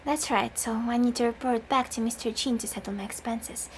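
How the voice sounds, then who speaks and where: A composed young male alchemist from a fantasy game, speaking in calm, measured lines, as a woman reads him. The voice stays quite high. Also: a little hiss or hum, lightly acted.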